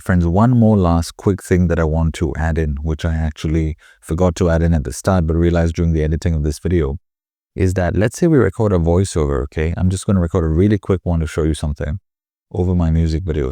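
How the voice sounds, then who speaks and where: Deep, dark-toned nasal man. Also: a little fast, no echo, studio quality. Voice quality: slightly nasal. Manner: chatty, rambling, self-correcting. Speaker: tutorial host. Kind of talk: casual screen-recording tutorial.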